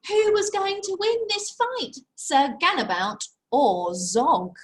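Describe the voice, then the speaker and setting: A woman's voice: very high voice, lively intonation, slow pace. A woman teacher reading a picture book aloud to young children, expressively, with simple chatty asides and questions. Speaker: infant school teacher.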